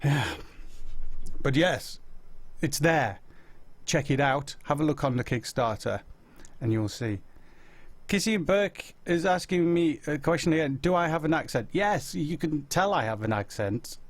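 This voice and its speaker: A man's voice: a little high, expressive, a little slow, dry recording. A man in his forties with a Yorkshire accent, casually chatting live and answering viewer questions with self-mocking humour. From Yorkshire, England. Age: 40s. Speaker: host answering viewer chat.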